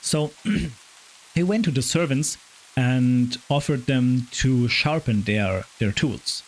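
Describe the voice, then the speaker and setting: A man with a raspy voice hosting a podcast, retelling myths in a chatty way, stumbling over hard names. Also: medium pitch, animated, slow, a little hiss or hum, low-quality recording. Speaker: podcast host. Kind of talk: casual storytelling of Norse myth.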